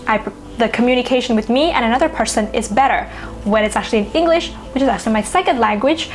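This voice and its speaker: A woman's voice: on the high side, fast talker, soft background music. A woman whose first language is Thai, an astrologer musing in casual talk to camera, full of "you know" and "like".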